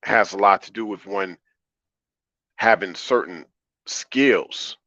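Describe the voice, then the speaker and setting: A man with a deep baritone voice talking calmly and conversationally as a livestream host, repeating phrases for emphasis. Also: slow pace. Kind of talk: conversational livestream monologue, answering chat. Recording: roomy, slightly dull lo-fi audio.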